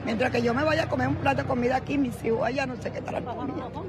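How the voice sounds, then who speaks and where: A Venezuelan woman in her sixties speaking slowly and sorrowfully outdoors. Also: sits high, steady tone, a crowd in the background. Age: 60s. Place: outdoors at a crowded food line. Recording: street noise behind.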